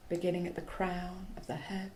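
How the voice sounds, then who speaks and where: A woman guiding a yoga nidra relaxation in a soothing, hushed manner, in short phrases with long pauses. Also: on the low side, completely flat delivery, slight background noise. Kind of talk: guided relaxation meditation.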